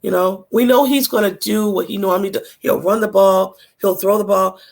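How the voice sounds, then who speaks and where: A co-host in a relaxed sports chat whose voice is hoarse and nearly gone. The sound is gravelly and rough, and the opinions come out blunt and emphatic. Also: medium pitch, normal speed.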